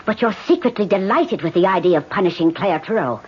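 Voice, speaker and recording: An actress playing an older woman medium who asks calm, probing questions in a radio drama. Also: medium pitch, a little fast, husky. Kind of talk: 1970s radio drama acting. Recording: lo-fi old radio broadcast.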